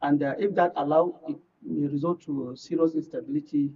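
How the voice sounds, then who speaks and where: A man with a Nigerian accent commenting as a guest on a live political panel, explaining in a halting, measured way. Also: a little high, steady tone, darkish tone, breathy edge. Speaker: studio guest, public affairs commentator. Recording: muffled, distant-mic broadcast audio.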